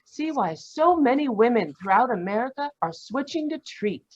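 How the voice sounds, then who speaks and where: A woman playing a cheery, persuasive pitchwoman in a 1940s radio commercial style. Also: sing-song, normal speed, husky, dull audio. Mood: cheery, selling. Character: cheery, persuasive female canned-meat advertiser. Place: live video call at home. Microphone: video call.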